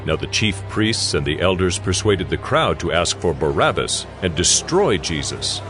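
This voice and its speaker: A man's voice: deep voice, very animated, normal speed. A man reading scripted scripture narration as the narrator of a drama. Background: music.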